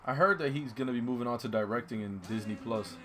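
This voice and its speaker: A man's voice: medium pitch, fast, a bit bright, a little hiss or hum. A young man in a casual movie chat, speaking bluntly and with plenty of buddy-like slang. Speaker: co-host of a movie review chat.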